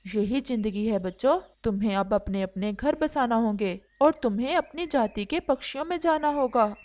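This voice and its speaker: A woman voicing a kindly, agreeable father sparrow in a cartoon story, speaking calmly and earnestly. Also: fairly high pitch, moderate pace, pitched below the performer's own voice, natural voice. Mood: firm, tender. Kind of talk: Hindi cartoon story acting.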